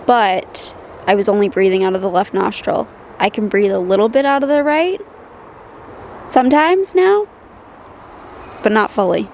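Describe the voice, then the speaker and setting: A woman with a nasally voice chatting casually to the camera in a car, thinking aloud and unsure of things. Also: mid-range voice. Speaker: vlogger. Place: inside a car. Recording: loud car and road noise. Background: loud vehicle noise.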